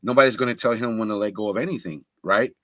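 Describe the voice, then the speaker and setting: A man's voice: fairly low pitch, fast, a bit bright, no room sound. A man from Los Angeles talking candidly on a livestream panel, slangy and full of restarts. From Los Angeles, United States. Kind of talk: casual chat.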